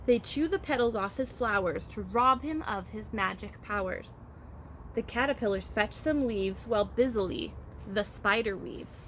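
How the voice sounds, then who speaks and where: Higher-voiced woman reading a children's storybook. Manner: expressive, rhythmic rhyming delivery. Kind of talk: children's storybook read-aloud. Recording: faint background noise.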